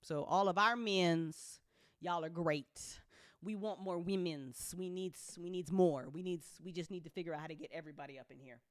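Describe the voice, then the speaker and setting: A woman's voice: on the low side, moderate pace, no echo, studio quality. A woman hosting a casual update talk as an audio drama producer, speaking bluntly and informally with playful slang and free swearing.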